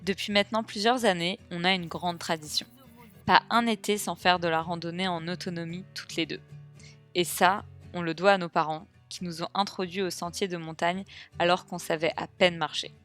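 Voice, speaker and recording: Young woman, voice-over narration, lowish voice, measured friendly telling, soft music underneath. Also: fairly even intonation. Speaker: vlogger narrating a hiking trip. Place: voice-over, not recorded on the trail.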